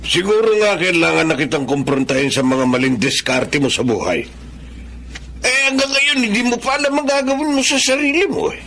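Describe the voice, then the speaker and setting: A man's voice: high-pitched, sing-song, a little fast, fairly bright tone, slight background noise, exaggerated voice. An actor playing a stern father who scolds his grown son, in a radio-style drama.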